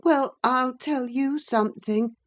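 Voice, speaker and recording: A woman's voice: high voice, slow pace, pitched above the performer's own voice. Woman doing a whiny, sickly teenage boy. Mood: taunting. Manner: whining, self-pitying. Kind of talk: audiobook character reading.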